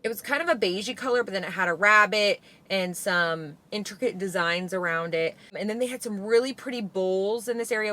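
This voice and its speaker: A woman chats about her shopping finds in a loose, rambling way, often stopping to correct herself. Her tone is bright and forward, with a slight hoarseness from allergies. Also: medium pitch. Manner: chatty, rambling, self-correcting. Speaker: vlogger. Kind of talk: casual shopping haul vlog.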